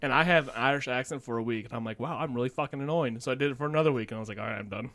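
A man's voice: mid-range voice, racing, fairly bright tone. A young man co-hosting a podcast, laughing and trading insults in casual banter. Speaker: podcast co-host. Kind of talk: casual chat.

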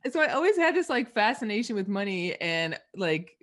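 A woman money mindset coach, a podcast guest, telling stories in a lively, chatty way. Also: medium pitch, a little fast. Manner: lively storyteller, laughs often, lots of likes. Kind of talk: casual interview chat.

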